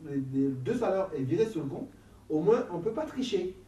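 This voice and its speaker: Man, has a cold, church group talk, mellow voice, animated, roomy recording from a distance. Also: high voice, fairly quick. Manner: animated, laughing, repeats words. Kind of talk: casual teaching talk with a group. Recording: echoey room, from a distance, faint noise.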